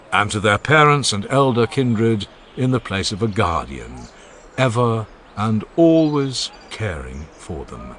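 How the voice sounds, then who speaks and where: A man as a grave, eloquent old philosopher, deep. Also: a bit bright, a little hiss or hum. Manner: solemn, declamatory oration. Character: older male philosopher, grave, eloquent orator. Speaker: Socrates. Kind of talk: dramatized audiobook reading.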